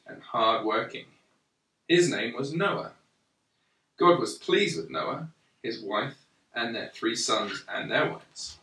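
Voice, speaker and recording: A man reading a children's story aloud in a measured, deliberate way, pausing between phrases. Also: mid-range voice, pushed and bright. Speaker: storyteller reading a Bible picture book to a young child. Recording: roomy, echoey, slightly distant microphone.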